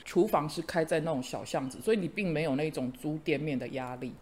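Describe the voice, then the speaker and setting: A man's voice: a little high, a little fast, darkish tone, slight background noise. A middle-aged man, a teacher hosting a chat podcast, earnest and encouraging, fond of idioms. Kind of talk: casual chat.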